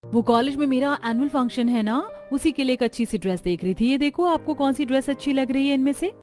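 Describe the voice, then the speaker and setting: A woman's voice: high voice, fast talker, darkish tone, close to an everyday voice. A woman voicing an impatient young college girl in a cartoon story. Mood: preoccupied. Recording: music bed under voice.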